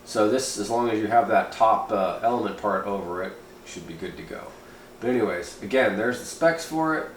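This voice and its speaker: A man's voice: fairly low pitch, moderate pace. A man giving a casual, folksy hobbyist product review, sometimes reading aloud in an informal way. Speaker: amateur radio hobbyist reviewing gear. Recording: roomy echo, steady background noise.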